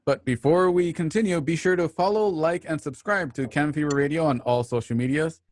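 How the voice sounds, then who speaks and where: A man hosting an online radio drama, reading a scripted introduction with measured, announcer-like phrasing. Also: fairly high pitch, a little mellow, a little hiss or hum.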